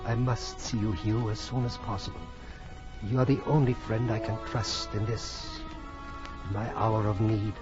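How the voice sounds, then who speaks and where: Actor as anguished gentleman, muffled over music. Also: on the low side, steady tone, unhurried, natural voice. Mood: pleading, anguished. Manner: earnest, pleading. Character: anguished, ailing gentleman pleading by letter to his friend. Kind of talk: 1940s radio drama acting. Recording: muffled old radio recording, music behind.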